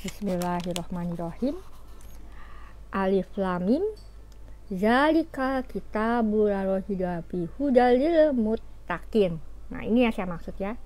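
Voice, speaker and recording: Lively woman explaining scripture. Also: medium pitch, measured pace. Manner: explanatory, animated, with fillers and rhetorical questions. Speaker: religious teacher. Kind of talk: informal religious talk.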